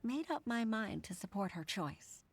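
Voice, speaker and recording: A woman's voice: fairly high pitch, very animated, measured pace, slight background noise. A woman playing an earnest, modest and respectful working mother in a video game, speaking politely and sincerely with some hesitation. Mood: tender, hopeful. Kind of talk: dubbed game dialogue acting.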